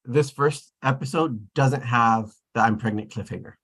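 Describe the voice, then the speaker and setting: A man's voice: mid-range voice, normal speed, fairly bright tone, husky. A man chatting casually in a group, tentative and often correcting himself mid-thought. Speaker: table read participant.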